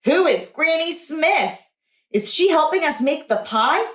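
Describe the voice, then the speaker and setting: A woman's voice: sits high, lively intonation, measured pace, a bit bright, voice pushed up. A woman voicing an eager, curious, literal-minded little girl in a storybook, full of questions and exclamations. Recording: roomy echo.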